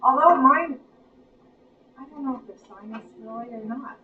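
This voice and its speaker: A woman's voice: sits high, moderate pace. An Appalachian American woman in a small workshop group, asking questions and checking details. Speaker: workshop attendee. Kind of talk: casual small-group chat. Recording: distant roomy recording, muffled.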